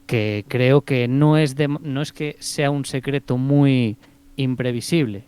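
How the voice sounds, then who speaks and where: A man's voice: medium pitch, fairly even intonation, slow pace, darkish tone, clean tone. A man with a Spanish accent from Spain, co-hosting a film podcast and giving a casual, hesitant review full of fillers and restarts.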